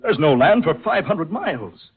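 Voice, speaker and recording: An actor playing a commanding, skeptical, gruff yacht owner with a husky voice in a 1940s radio drama. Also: male voice, on the high side, big pitch swings, moderate pace. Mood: incredulous. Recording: dull, muffled old radio recording.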